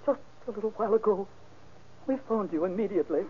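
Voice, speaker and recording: An actress playing an anxious, loyal aunt in an old-time radio crime drama. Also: female voice, medium pitch, quick pace, husky, close to an everyday voice. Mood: anxious, hushed. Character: anxious, loyal aunt defending her nephew. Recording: muffled, band-limited vintage radio recording.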